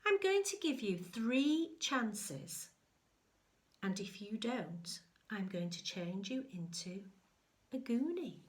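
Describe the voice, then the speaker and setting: A woman's voice: a little high, sing-song, slow pace. A woman voicing a stern good fairy from a children's storybook, scolding in an emphatic sing-song way. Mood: stern warning.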